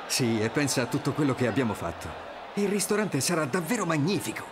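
A charming, upbeat young husband with a very lively pitch, devoted in manner. It is animated game dialogue over clear background noise. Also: male voice, fast talker. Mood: tender.

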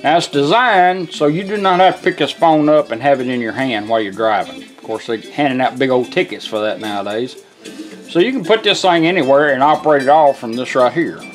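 A man's voice: mid-range voice, fairly quick, soft background music. A man giving a casual, folksy, step-by-step product demonstration in an informal way. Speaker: vintage car radio restorer demonstrating. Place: indoor workshop bench.

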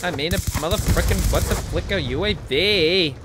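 A man's voice: high-pitched, normal speed, noisy background. A young man hosting a gaming video, talking in a hyper, giddy, joking way over a headset. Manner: hyper, giddy, jokey, shrieks "whee". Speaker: gaming channel host. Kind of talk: casual gameplay commentary. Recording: game gunfire behind voice.

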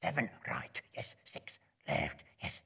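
A man's voice: unhurried, sharp tone. A man voicing a small, wretched, spiteful cave creature who hisses and mutters to himself in broken grammar, in a dramatic book reading. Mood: muttering, counting. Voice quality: raspy, hissing, creaky.

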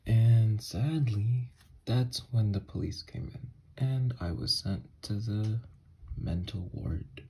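A man acts out an obsessed scientist who escaped a mental ward, in an ASMR horror roleplay. His voice is very breathy and mellow, and he confesses slowly and haltingly, full of remorse. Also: fairly low pitch, exaggerated voice. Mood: regretful, confessional. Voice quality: husky, breathy.

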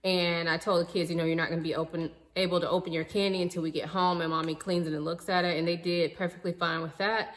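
A woman's voice: on the low side, quick pace, few pauses, long reverb tail. A woman in her thirties chatting casually to viewers about things she bought, seated at home in front of the camera. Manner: chatty, relaxed, addresses viewers as y'all. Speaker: vlogger. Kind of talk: casual haul vlog chat. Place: living room at home, indoors.

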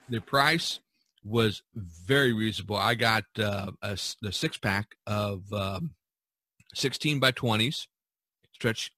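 A man's voice: on the low side, bright, forceful tone, no echo. A man hosting a casual podcast, talking in a rambling, folksy way and repeating himself. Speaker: podcast host.

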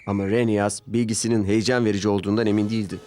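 A man narrating a scripted children's story with expressive storytelling. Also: lowish voice, very fast, a little hiss or hum. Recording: music loud behind the voice. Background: background music.

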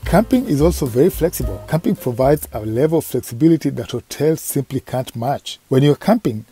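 A man with a husky voice reading a scripted voice-over for a travel vlog. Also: mid-range voice, expressive, a little fast, dark tone. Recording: dry voice-over over music.

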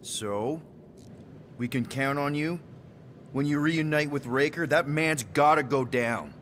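A man's voice: on the high side, takes it slowly, fairly bright tone, a little hiss or hum. An actor playing a casual, joking male space captain.

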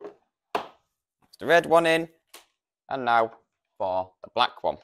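A man's voice: medium pitch, expressive, takes it slowly, a bit bright, a little hiss or hum. A British man in his thirties giving an informal, step-by-step product demonstration in a practical, explanatory way, on a lapel mic. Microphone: lavalier lapel microphone.

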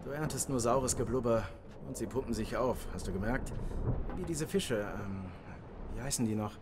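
A man's voice: medium pitch, moderate pace, noisy background, close to an everyday voice. A man voicing a chatty, wry male fellow prisoner who talks in an amused, easygoing way. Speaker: fellow captive. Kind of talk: German game dub.

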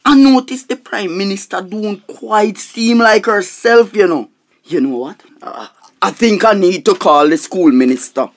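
A woman plays a gossipy, defensive Jamaican money minister in a radio drama. The voice sits at an ordinary pitch. The delivery halts and repeats itself with self-important fuss. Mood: anxious, puzzled. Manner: repeats phrases emphatically, halting when agitated. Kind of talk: radio comedy drama acting.

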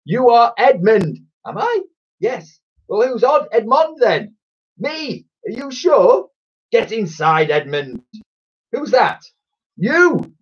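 A man's voice: very high voice, big pitch swings, takes it slowly, breathy edge, muffled recording, voice pushed up, full character voice. A man voicing a nasty, muddle-headed male twin who runs a toy shop in a children's storybook, theatrical, haughty and snappish. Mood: muddled bickering.

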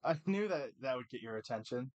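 A man's voice: mid-range voice, expressive, brisk, a little mellow, lo-fi sound, lightly acted. A man voicing a teasing, brash older male college buddy in a visual novel. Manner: playful, ribbing, brash.

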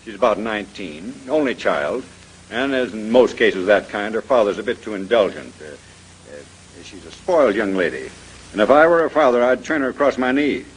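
A fussy museum gentleman in an old radio drama. His voice is very rough and husky, rising and falling in lively swings as he shares his opinions. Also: fairly low pitch, normal speed, rough recording. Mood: confiding. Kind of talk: 1930s radio mystery acting.

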